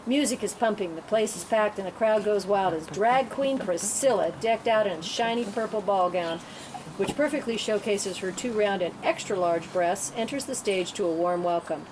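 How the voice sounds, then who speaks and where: A woman's voice: mid-range voice, moderate pace. A woman narrator reading screenplay stage directions as scripted narration at a table read. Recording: clear background noise.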